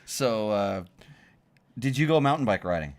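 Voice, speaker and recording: A middle-aged man hosting a relaxed conversation, friendly and prone to stumbling restarts. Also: lowish voice, moderate pace, noisy background. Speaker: host. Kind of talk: casual chat.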